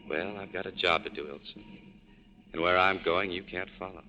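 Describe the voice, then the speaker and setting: A man's voice: low-pitched, steady tone, moderate pace, highs cut off, close to an everyday voice. A man playing a world-weary, resolute tough guy in a 1940s radio drama. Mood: firm, wistful. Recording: noisy vintage radio broadcast recording.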